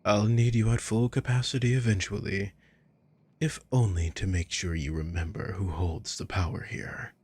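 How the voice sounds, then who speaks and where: A man playing a sadistic, controlling villain in an ASMR roleplay, breathy and husky, speaking with slow, condescending, taunting deliberation. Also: fairly low pitch, a little mellow. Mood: menacing, possessive. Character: sadistic, controlling male villain toying with a captive.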